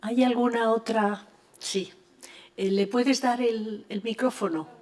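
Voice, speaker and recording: A woman's voice: a little high, fairly even intonation, slow, darkish tone. An older woman from Spain, moderating an academic round table and talking conversationally with frequent restarts. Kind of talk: academic panel discussion. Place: university lecture hall.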